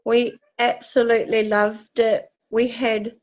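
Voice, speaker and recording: A woman from New Zealand's far north with a New Zealand accent, a netball team organiser answering interview questions in a relaxed, friendly way. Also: fairly high pitch, slow, muffled recording. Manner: relaxed, friendly, some ums.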